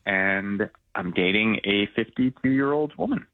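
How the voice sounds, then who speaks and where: A man's voice: fairly low pitch, lively intonation, a little slow, dry recording. Caller, 20s male, earnest, hesitant, dry tinny phone audio. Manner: earnest, slightly hesitant confession.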